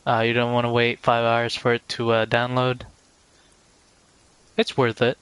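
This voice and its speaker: A man's voice: mid-range voice, monotone, unhurried, a bit bright, clear, resonant tone. Casual, rambling man streaming a game. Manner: rambling, addresses viewers by name. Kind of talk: casual livestream chat over gameplay.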